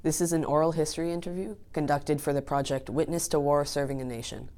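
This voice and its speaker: A woman conducting an oral history interview, asking plain, measured questions. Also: low voice, fairly even intonation, warm tone, slight background noise.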